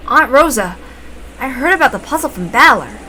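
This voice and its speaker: A woman's voice: sits high, animated, normal speed, heavy background noise. A woman voicing an earnest, protective young man who speaks politely in a story reading. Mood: polite objection.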